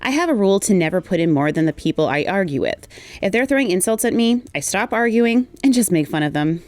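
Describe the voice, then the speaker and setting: A woman's voice: medium pitch, expressive, quick pace, a little mellow. A woman reading a personal testimony aloud as a guest contributor. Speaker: guest reader of a written community response.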